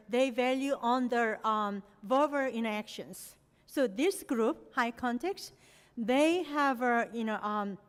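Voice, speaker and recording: A middle-aged woman from Korea, a native Korean speaker, giving an academic presentation with non-native phrasing and frequent "you know" fillers. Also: a little high. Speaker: lecturer. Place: lecture hall. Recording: long hall reverb.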